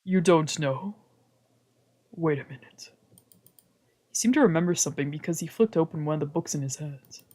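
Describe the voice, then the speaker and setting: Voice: mid-range voice, animated, moderate pace, pauses often, a little mellow. Streamer reading a visual novel aloud in first person, with whispered asides; mid-range voice. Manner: reads narration in first person, translating lines, with whispered asides. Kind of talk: reading game narration aloud.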